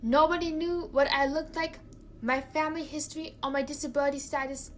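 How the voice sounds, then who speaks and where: High-voiced woman telling a storytime. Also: steady tone, a little hiss or hum. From China. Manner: careful, halting delivery with repeated words. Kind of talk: storytime narration.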